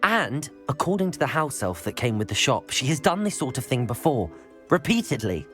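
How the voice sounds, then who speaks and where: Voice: medium pitch, sing-song, normal speed, fairly bright tone, soft background music. An actor playing an earnest, self-assured young student hero in a fantasy video game. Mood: indignant. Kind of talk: video game character acting.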